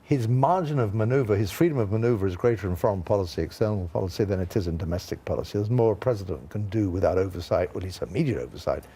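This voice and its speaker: A man's voice: fairly low pitch, fast talker, few pauses, mellow, breathy edge. An older British man giving expert commentary on a news panel, with wry, blunt, colloquial asides. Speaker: former ambassador, studio guest.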